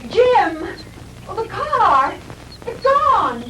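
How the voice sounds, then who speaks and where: An actress playing a frightened, bewildered, high-strung young woman in a 1960s low-budget film. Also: sing-song, extremely slow, sharp tone, full character voice. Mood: shocked panic. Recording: noisy old 1960s film soundtrack.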